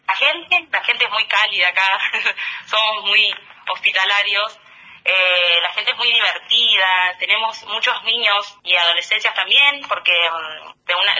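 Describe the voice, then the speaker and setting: An Argentine woman in her thirties, guest on a radio interview, with a very bright, sharp voice. She talks loosely and hesitantly, laughing often between thoughts. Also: medium pitch, measured pace, rough recording. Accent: Argentine Spanish. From Argentine Patagonia. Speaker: teacher and tourism guest.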